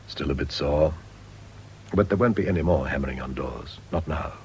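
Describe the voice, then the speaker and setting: Very deep-voiced condemned prisoner. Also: male voice, a little mellow, a little hiss or hum. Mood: earnest, reflective. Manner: measured, reflective, literary turns of phrase. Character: condemned male prisoner, philosophical, tender, resigned. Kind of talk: radio drama acting.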